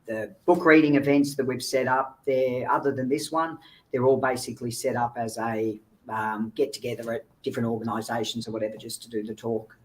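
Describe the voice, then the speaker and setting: A man's voice: mid-range voice, steady tone, fairly quick, poor audio. Easygoing-paced man, casual guest. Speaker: guest speaker, child recovery agent. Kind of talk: casual interview chat.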